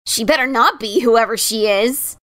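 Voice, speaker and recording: Woman playing cocky cartoon pegasus mare, young, high voice, boastful, excitable, gushing, audio drama. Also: moderate pace, natural voice. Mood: cocky, eager. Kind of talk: dramatic reading of fan fiction.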